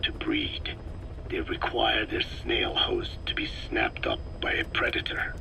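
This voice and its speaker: An actor playing an elderly, erudite male scientist in a video game, speaking in a breathy rasp with slow, deliberate, grave explanations. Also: extremely low pitch, sing-song, whispered, heavily acted, poor audio. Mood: grave, eerie fascination. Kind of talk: video game audio drama acting.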